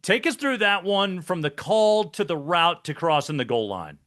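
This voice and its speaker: A man hosts a sports interview, introducing his guest with upbeat, polished broadcaster energy. His voice is bright and crisp and sits a little high for a man. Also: lively intonation, moderate pace. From mainland United States. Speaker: sports show host. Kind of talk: interview hosting.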